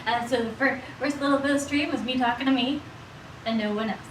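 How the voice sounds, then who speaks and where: A woman's voice: high voice, a little fast. Echoey noisy room, middle aged woman doing a cooking stream, rambly n playful, says stuff over and over. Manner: playful, rambling, repeats words and phrases. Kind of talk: casual livestream chat while cooking. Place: small indoor kitchen room. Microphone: distant, several feet away. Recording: roomy echo, distant mic, background noise.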